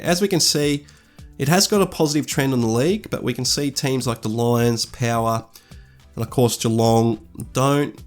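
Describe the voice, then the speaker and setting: A man, stuffy from a cold, talking through football statistics in a measured, thoughtful way with fillers and restarts. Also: mid-range voice. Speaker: sports analyst. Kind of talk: scripted-ish stats commentary over slides.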